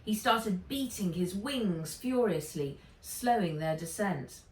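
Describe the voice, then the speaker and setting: A woman reading a children's adventure story aloud with dramatic, expressive narration. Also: medium pitch, slow pace. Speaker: author reading own book aloud. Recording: roomy, distant microphone.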